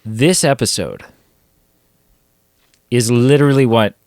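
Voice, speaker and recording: A man co-hosting a casual podcast chat, going hoarse as he talks, rambling with quick self-deprecating asides. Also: mid-range voice, a little mellow, no echo, studio quality. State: losing his voice. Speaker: podcast co-host.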